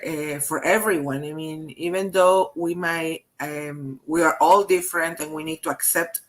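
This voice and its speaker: Woman, Peruvian Spanish accent, webinar host, slightly low voice, halting with restarts, video call. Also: fairly bright tone. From Peru. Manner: halting, many restarts and fillers. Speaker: host, HR consultant. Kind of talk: live webinar interview.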